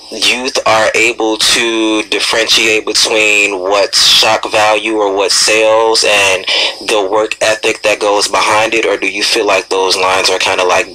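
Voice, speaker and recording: Very forceful, sharp-toned male radio host. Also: on the low side, a little hiss or hum, low-quality recording. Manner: wordy, hesitant phrasing of long questions. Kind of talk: conversational interview questioning.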